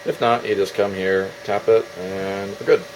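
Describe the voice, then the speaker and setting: A man's voice: lowish voice, fairly even intonation, moderate pace, background noise. A man giving a casual, off-the-cuff demo as a DIY builder, thinking aloud as he handles his gear.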